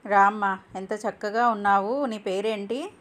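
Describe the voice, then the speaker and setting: A woman's voice: mid-range voice, fairly even intonation, unhurried, fairly bright tone, lightly acted. A woman voicing a proud, assertive middle-aged mother in a cartoon moral story. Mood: welcoming. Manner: assertive, dismissive to husband. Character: middle-aged mother, proud, bossy, set on a stylish daughter-in-law.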